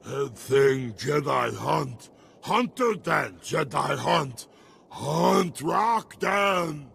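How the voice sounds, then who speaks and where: A man voicing a brutish, primitive alien raider in a video game, barking short broken phrases in a gravelly voice. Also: on the high side, animated, extremely slow, a bit bright, a little hiss or hum, full character voice. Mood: power-hungry. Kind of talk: video game character acting. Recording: game music behind voice.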